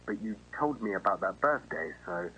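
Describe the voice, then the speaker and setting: A man's voice: lowish voice, lively intonation, moderate pace, bright, forceful tone, rough recording. A man playing a friendly, casual restaurant manager reassuring a job applicant in an acted phone conversation. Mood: upbeat, reassuring. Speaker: voice actor in an analog horror video. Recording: faint noise and hum.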